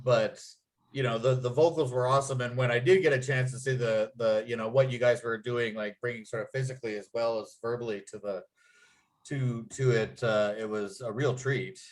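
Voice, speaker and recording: Man, mid-pitched, leading a casual creative discussion; hesitant, hedging, thinking out loud. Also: fairly even intonation. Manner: halting, hedging, thinking aloud. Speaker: writer leading a feedback talk after a script read.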